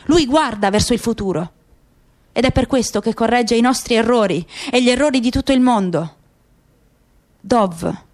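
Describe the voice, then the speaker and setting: A woman's voice: medium pitch, darkish tone, natural voice. Woman voicing solemn, proud, disillusioned father.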